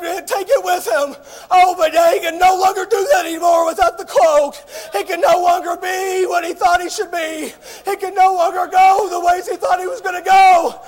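A man preaching an impassioned revival sermon, crying out with frequent "oh" interjections. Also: extremely high pitch.

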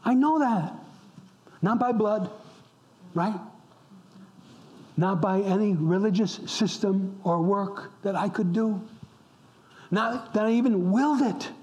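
Middle-aged male preacher from upstate New York, high voice, fervent, repeats himself, slips into hushed whispers. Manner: impassioned, repeats words for emphasis, drops to a whisper at times. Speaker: pastor. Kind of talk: sermon. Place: church.

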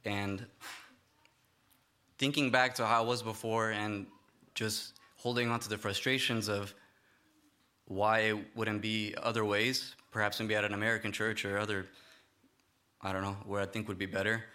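A young man giving a church sermon, speaking in a halting way with many fillers and restarts. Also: lowish voice, flat delivery, sharp tone, clear, resonant tone. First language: Romanian not first language. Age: young adult. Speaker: lay preacher.

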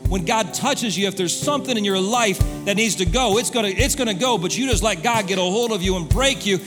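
A man's voice: high voice, fairly quick. A man preaching a sermon as a pastor, in an emphatic, repetitive, conversational way with rhetorical questions. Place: large hall, church service. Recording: echoey hall reverb with music behind.

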